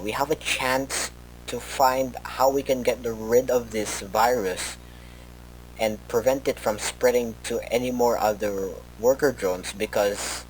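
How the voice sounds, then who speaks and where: A man playing a worried researcher who records a rambling, halting, informal tape log for an analog horror story. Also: medium pitch, a little hiss or hum, natural voice, poor audio. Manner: hesitant, halting, rambling with self-corrections.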